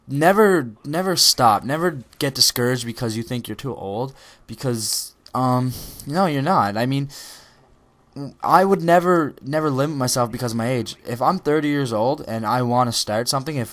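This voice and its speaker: A man's voice: mid-range voice, normal speed, fairly bright tone. A teenage boy giving a casual, rambling motivational talk over gameplay footage, with many ums and restarts. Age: teens. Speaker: gaming video creator.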